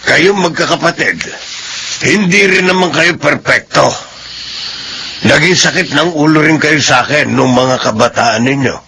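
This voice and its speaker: An actor playing a patient, principled elderly retired soldier and kindly benefactor in a radio drama, with a gravelly voice. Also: male voice, on the high side, measured pace, pushed and bright, full character voice. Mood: firm, defending. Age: older. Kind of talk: radio drama acting with narration. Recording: noisy radio drama recording.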